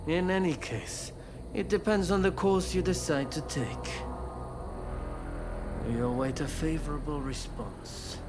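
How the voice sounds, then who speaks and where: Slow, knowing actor as a cunning schemer. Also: male voice, a little high, steady tone, over music, close to an everyday voice. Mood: sly composure. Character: calm, cunning political schemer in feudal Japan. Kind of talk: dramatic video game dialogue.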